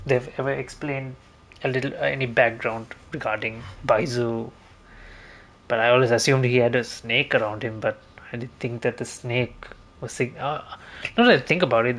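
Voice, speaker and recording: A man's voice: mid-range voice, fairly bright tone. A man giving casual gameplay commentary as a streamer, rambling and hesitant, often repeating himself. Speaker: game streamer.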